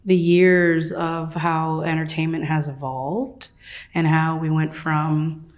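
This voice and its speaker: A woman with a low voice for her sex talks through ideas in an easygoing, conversational way. She agrees readily and builds on what others say. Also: little pitch movement, slow pace. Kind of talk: casual interview.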